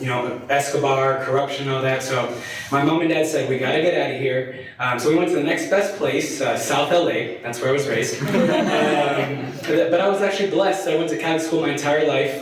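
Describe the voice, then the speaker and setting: A man's voice: medium pitch, a little fast. A young man from Colombia, a fundraising consultant, giving a friendly, joking presentation to a parish audience with many fillers. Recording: distant mic in a very echoey hall.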